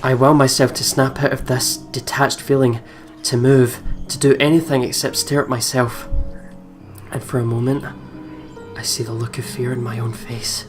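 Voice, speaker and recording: An excitable let's play host with a mid-pitched voice. They read a horror visual novel aloud and slip into casual, jumpy commentary with some swearing. Game music plays behind the voice. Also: moderate pace.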